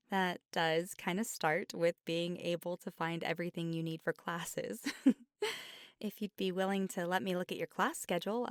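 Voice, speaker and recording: Recorded close and dry, this is a woman acting as a friendly young bookshop clerk at a magic college in an ASMR roleplay. Her voice sits a little low. She talks in a chatty, natural way and is easily flustered. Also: no echo. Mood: warm, reassuring. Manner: chatty, stammering when flustered. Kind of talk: ASMR roleplay, scripted one-sided conversation.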